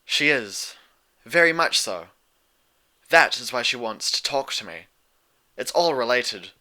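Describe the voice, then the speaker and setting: A man plays a royal pony ruler in a storybook story, a patient elder sister. Her voice is very bright and lifted a little in pitch. She speaks in measured, formal sentences and keeps herself guarded. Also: expressive. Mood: weary, composed. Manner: measured, formal, reflective phrasing. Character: composed, regal elder pony sun princess, patient and guarded.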